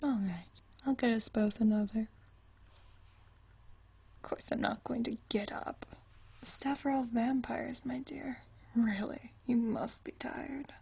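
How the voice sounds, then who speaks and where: A woman performs an ageless vampire lady with a soft, mellow voice pitched a little high. She speaks in a very husky, whispery hush, as in an intimate ASMR roleplay. Her manner is languid and genteel, full of dry, teasing wit. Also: fairly even intonation, slow, pauses often, full character voice. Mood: wry, affectionate. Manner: teasing, dryly witty, languid, genteel turns of phrase. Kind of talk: ASMR roleplay, intimate one-sided conversation.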